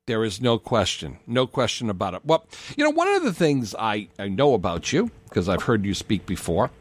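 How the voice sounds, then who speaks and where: A man's voice: lowish voice, wildly swooping pitch, normal speed, slightly rough, dry recording. A man hosting a radio talk show, reading a scripted promotional intro and then affirming his guest as he interviews him.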